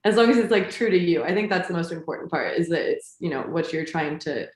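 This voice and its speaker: A woman on a panel answering questions about music videos in a relaxed way, thinking out loud. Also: fairly low pitch, quick pace. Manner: relaxed, thinks aloud with fillers. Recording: roomy echo.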